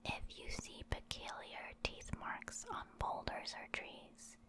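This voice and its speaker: A woman reads aloud in a soft, unvoiced whisper for ASMR. Her delivery is very slow and deliberate, with a soothing manner meant for relaxing. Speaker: ASMR creator reading game creature entries.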